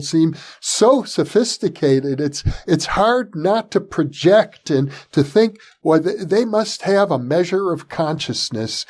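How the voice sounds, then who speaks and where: Male interview host, slow and measured, slightly high voice. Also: a little mellow.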